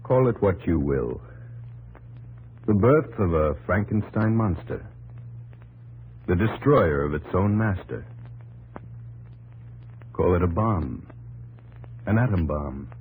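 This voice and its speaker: A man playing a grave atomic scientist in a 1950s radio drama, measured, ominous and oratorical. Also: deep voice, animated, darkish tone, breathy edge, heavily acted. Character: grave male atomic scientist, weighty and portentous. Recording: muffled 1950s radio sound with faint hum.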